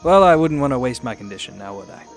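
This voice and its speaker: Man acting as mysterious gentleman, radio drama, mid-pitched, wry, polite, teasing, faint music behind. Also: expressive, fast talker, natural voice. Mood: wry, amused. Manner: polite, wry, smooth-talking. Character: suave mysterious unseen man, teasing and unhurried.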